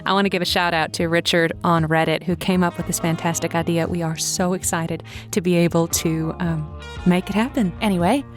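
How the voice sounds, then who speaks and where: A woman playing a chatty, easily flustered lonely-hearts radio host in an audio comedy, halting and informal with repeated words. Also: fairly low pitch, fairly even intonation, soft background music, natural voice. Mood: breezy announcing. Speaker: radio call-in show host. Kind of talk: audio comedy drama, casual radio hosting.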